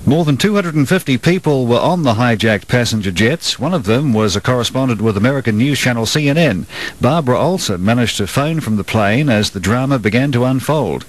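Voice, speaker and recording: A man's voice: mid-range voice, expressive, brisk. New Zealand man reading radio news. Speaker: radio newsreader. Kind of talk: scripted news bulletin reading.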